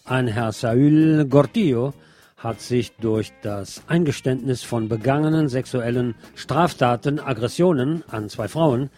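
A man's voice: mid-range voice, a little slow, warm tone, faint music behind. A man presenting scripted news as a commentator, with a sardonic, ironic manner. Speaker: presenter of a local news programme.

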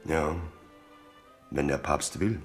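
A man's voice: a bass voice, slow, gravelly, faint music behind, exaggerated voice. An actor playing a frank, sly soldier courtier who needles his king loyally in a German radio drama. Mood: blunt, provoking. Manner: frank, sly, feigns indifference.

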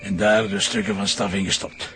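A man's voice: fairly low pitch, little pitch movement, normal speed, fairly bright tone, faint music behind, lightly acted. An actor playing an old, guilt-ridden and fiercely protective grandfather who has killed, in a radio drama. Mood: confessing, agitated. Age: older.

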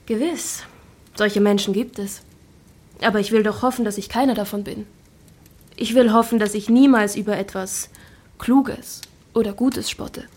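An actress playing a witty, spirited young Regency gentlewoman in a radio drama, articulate, playful and ironic. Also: mid-range voice, fairly even intonation, moderate pace, long pauses, husky, natural voice. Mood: ironic, challenging. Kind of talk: naturalistic German radio drama acting.

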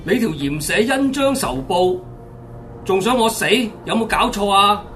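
A man's voice: high voice, animated, unhurried, bright, forceful tone, soft background music, full character voice. A man playing an indignant, scolding school sports coach in a radio horror drama, with a Hong Kong Cantonese accent. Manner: scolding, taunting.

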